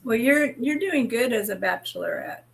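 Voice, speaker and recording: A woman's voice: a little high, lively intonation, moderate pace, gravelly. A woman chatting casually as a panel guest, blunt and wry, with salty language. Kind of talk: casual chat.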